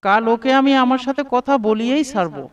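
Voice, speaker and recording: A man's voice: extremely high pitch, animated, fast, smooth, far from the mic, lo-fi sound. A man voicing an arrogant, taunting young rich bully who leers and pushes in a cartoon. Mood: boastful. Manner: taunting, pushy. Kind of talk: cartoon voice acting.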